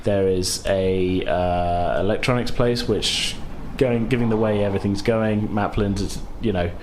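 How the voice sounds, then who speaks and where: A low-pitched British man narrates as the game master. He describes the scene at an unhurried pace, pausing often on ums. A faint hiss sits under his voice. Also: fairly even intonation. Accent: British English. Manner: unhurried, hesitant with frequent ums.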